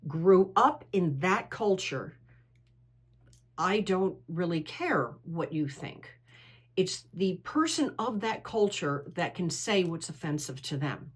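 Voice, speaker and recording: A woman's voice: fairly low pitch, slightly rough. A middle-aged American-born woman who leads a women's travel club, telling stories in a candid, opinionated, digressive way. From United States. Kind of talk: interview answer, conversational monologue.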